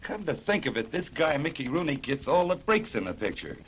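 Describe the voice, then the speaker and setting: A man's voice: a little high, very animated, a little fast. A man as an interview guest, trading scripted old-time radio banter on a vintage recording. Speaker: interview guest on a film set. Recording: noisy vintage mid-century radio recording.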